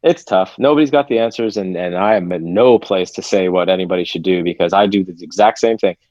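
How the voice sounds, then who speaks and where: A man's voice: sits low, a little fast, darkish tone, dry recording. A man from Seattle, a podcast guest talking candidly and reflectively, sometimes swearing in casual conversation. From Seattle, USA. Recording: dull, lo-fi remote audio.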